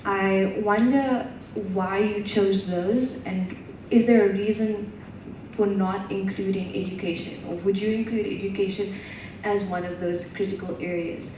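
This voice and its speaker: A woman's voice: mid-range voice, steady tone, unhurried. A woman student asking a long, carefully built question from the audience at a conference. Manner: careful, detailed multi-part questioning. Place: large conference hall. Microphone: distant microphone. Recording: echoey hall, distant mic, noisy.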